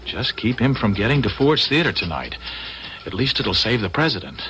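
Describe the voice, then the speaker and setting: Desperate everyman, low and animated. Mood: determined, tense. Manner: urgent, halting. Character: earnest, desperate everyman trying to prevent a crime. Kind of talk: old-time radio drama acting. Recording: noisy old radio drama recording.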